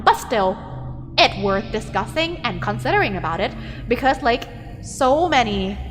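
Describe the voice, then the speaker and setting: A woman's voice: fairly high pitch, a bit bright, long reverb tail. Chatty Thai-accented woman streamer. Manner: chatty, filler-heavy, thinks aloud with frequent "like". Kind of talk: casual chat reading viewer messages.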